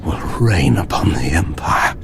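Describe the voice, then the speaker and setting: Bitter doomed terrorist boss from a video game, dramatic pitch swings, music behind. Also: male voice, animated, unhurried, vocal fry, heavily acted. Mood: grim. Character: defiant dying terrorist mastermind, grimly fanatical, bitter.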